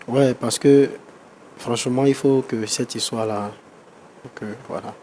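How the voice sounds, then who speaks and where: A man with a touch of flu argues his side on a talk show. His voice sits at an ordinary pitch and turns defensive and heated fast, with points said twice over. A faint noise runs under it. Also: fairly even intonation, darkish tone. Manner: defensive, quickly heated, repeats himself. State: has the flu. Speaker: guest on a reconciliation show.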